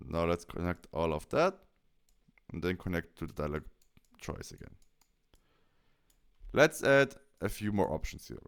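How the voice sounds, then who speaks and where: A man walks through a software tutorial step by step. His voice is fairly low and clear, with very lively, swinging intonation. He speaks as a presenter explaining what to do next. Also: unhurried, pauses often.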